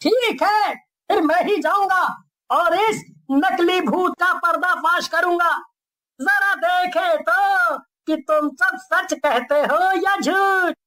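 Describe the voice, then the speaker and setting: A woman's voice: very high-pitched, takes it slowly, sharp tone, heavily acted, low-quality recording. A woman voicing a bold, skeptical male village shopkeeper, confident and challenging, in a cartoon story. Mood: defiant.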